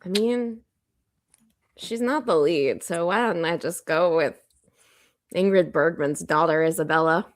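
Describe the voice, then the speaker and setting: A woman's voice: on the low side, a little slow, long pauses. A woman speaking as a podcast guest, chatting casually while playing a trivia game.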